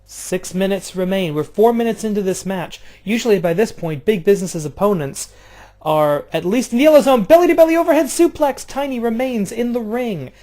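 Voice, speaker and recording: A man doing casual play-by-play of a video game wrestling match, jumping between loud outbursts and low, offhand, swearing asides. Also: lively intonation, fairly quick. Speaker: play-by-play commentator.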